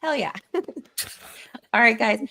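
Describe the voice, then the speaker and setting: Lady with a highish voice hosting a casual livestream panel. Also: very slow, vocal fry, bright, forceful tone. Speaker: host of a live panel stream. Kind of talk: casual chat, signing off a livestream.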